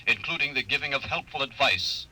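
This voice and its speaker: A man playing a talking computer in a 1950s radio drama, with a slow, measured, mechanical and precise delivery. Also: mid-range voice, rough recording. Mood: flat, informative. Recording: old radio broadcast, husky forceful sound.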